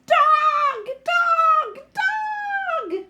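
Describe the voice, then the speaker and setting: A woman voicing greedy, excitable little trolls who clamor in shrill shouts for a children's storybook. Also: very slow, lo-fi sound, exaggerated voice. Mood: wailing. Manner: shrill shouting, chanting single words.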